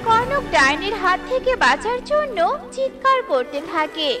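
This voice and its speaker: Very high-voiced woman storyteller. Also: big pitch swings, a little slow, loud music behind the voice. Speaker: narrator. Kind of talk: scripted cartoon story narration.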